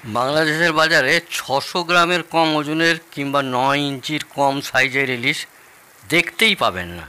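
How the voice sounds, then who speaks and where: A man's voice: a little high, measured pace, sharp tone, clean tone. An actor playing a jovial, proud older Bengali father-in-law in an audio drama, explaining things expansively and with relish. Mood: earnest, critical.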